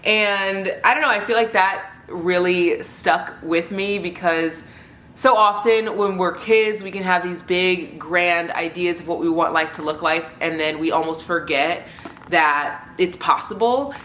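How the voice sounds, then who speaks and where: Rambling, chatty woman with a low voice, bright and congested, home vlog recording with an echo. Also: unhurried. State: congested, stuffy nose. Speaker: book vlogger. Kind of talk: casual book review chat. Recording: roomy echo.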